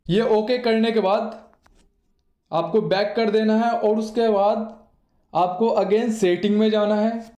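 A man's voice: high voice, steady tone, takes it slowly, darkish tone. A man in his twenties with an Indian accent, explaining phone steps like a tutorial host, repeating himself for emphasis. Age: 20s. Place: small bare indoor room. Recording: echoey bare room.

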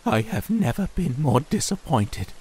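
Man acting a high-voiced, heartbroken snake demon. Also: big pitch swings, measured pace, darkish tone, slightly rough, exaggerated voice. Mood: hurt, earnest. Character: earnest, heartbroken male snake demon, pleading lover. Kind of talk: audio comic dub acting. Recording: background music. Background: music.

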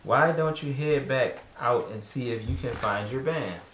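A man's voice: mid-range voice, unhurried, a bit bright, slight background noise, lightly acted. A man voicing a welcoming older woman, a Creole cook in a storybook, with a calling-out delivery. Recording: echoey room, distant mic.

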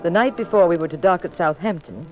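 An actress playing a witty, affectionate detective's wife in a mid-century radio mystery, speaking with wry poise. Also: female voice, lowish voice, fast, lo-fi sound, lightly acted. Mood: wry narration. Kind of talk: mid-century radio drama acting and narration. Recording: old radio recording with music.

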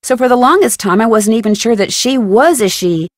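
A woman's voice: a little high, lively intonation, fairly quick, no echo, clean studio sound, lightly acted. A woman voicing a level-headed, friendly young amateur detective in a video game. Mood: explaining.

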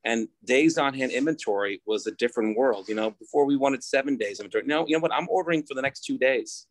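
A man in his forties on a video-call panel, talking haltingly and repeating phrases as a restaurant operator. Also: mid-range voice, no room sound, poor audio. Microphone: phone at arm's length on video call.

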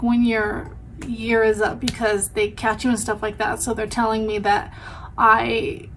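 A woman's voice: on the high side, slight background noise. A woman in her thirties with a sore throat and a stuffed-up head cold, chatting casually at home to a close camera, rambling with lots of "um" and "like". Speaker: vlogger. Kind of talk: casual chat. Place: small home bedroom, indoors. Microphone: camera in front at close range.

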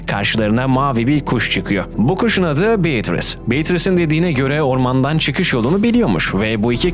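A man hosting a scripted video essay, with casual asides to the viewers. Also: medium pitch. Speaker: video host. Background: background music.

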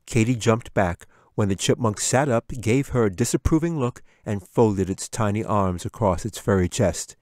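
A man's voice: lowish voice, normal speed, few pauses, a little mellow, no room sound, studio quality. A man narrating a scripted fantasy audiobook as its author and storyteller.

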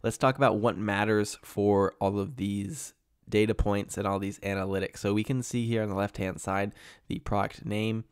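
Man, nasal, getting over a cold, lowish voice, dry close sound, tutorial walkthrough. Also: moderate pace, clean tone, dry recording. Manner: steady, explanatory walkthrough. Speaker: e-commerce coach. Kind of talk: screen-share tutorial.

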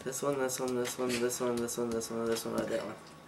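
A man's voice: mid-range voice, little pitch movement, moderate pace, vocal fry. A man in his thirties chatting casually and teasingly during a card game at a dining table, picked up by a camera across the table. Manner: playful, teasing. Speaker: card trick player at a family game. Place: small dining room at home, indoors. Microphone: handheld camera across the table. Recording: noisy home camera recording, handling clicks.

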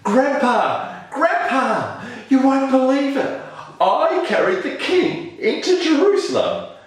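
A man voicing an eager, excitable young donkey in a children's story, full of wide-eyed enthusiasm. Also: extremely high pitch, slow, exaggerated voice. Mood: excited. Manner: exclaiming, breathless enthusiasm. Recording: roomy, echoing, distant microphone.

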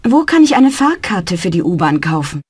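A woman's voice: on the low side, sing-song, normal speed, a little mellow, breathy edge. A woman voicing a polite young language student in a scripted learner dialogue.

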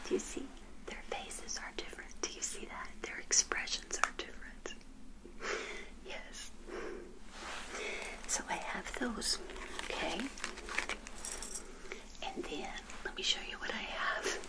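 An older woman whispering a gentle, hospitable ASMR roleplay as a friendly witch, softly repeating her phrases. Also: lowish voice, takes it slowly, gravelly, long pauses, low-quality recording.

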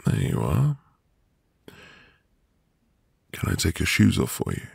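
A man playing a tender, devoted newlywed husband in a soft, intimate, romantic ASMR roleplay with lingering pauses. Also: low voice, huge pitch swings, creaky, breathy edge, no echo, heavily acted. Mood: adoring, caring. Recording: music behind the voice. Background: music.

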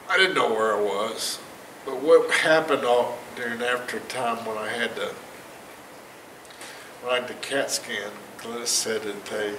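A man in his fifties telling a personal testimony to a church congregation, rambling and chuckling at his own jokes. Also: medium pitch. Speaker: church member giving testimony. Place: church sanctuary stage, indoors, large. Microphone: far camera, no mic seen. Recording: roomy echo from far off, noisy.

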